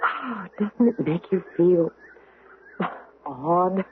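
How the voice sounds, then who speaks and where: An actress playing a brisk, supportive woman friend, urging and expressive, in an old-time radio drama. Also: lowish voice, slight background noise. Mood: curious, playful. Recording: muffled, band-limited 1970s radio broadcast.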